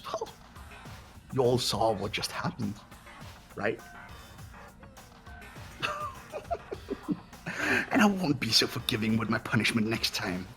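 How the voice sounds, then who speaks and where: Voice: medium pitch, fairly bright tone, exaggerated voice. A performer voicing a gleefully sadistic robot teddy-bear headmaster in a fan game dub, theatrical and mock-polite. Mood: gleeful menace. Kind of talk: fan voice acting of a video game.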